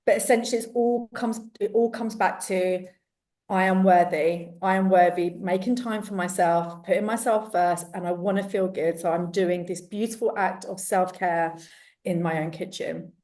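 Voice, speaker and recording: A woman from London with a strong London accent, a cookery teacher chatting as a podcast guest, enthusiastic and quick to laugh, with many restarts. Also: on the low side, steady tone, a little mellow, recorded from a distance. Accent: London English. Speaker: guest, cookery teacher and self-love coach. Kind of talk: casual podcast interview.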